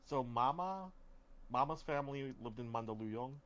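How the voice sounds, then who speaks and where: A man's voice: mid-range voice, expressive, a bit bright, highs cut off. Young man hosting a casual podcast chat. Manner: asks guests questions, halting restarts. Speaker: podcast host.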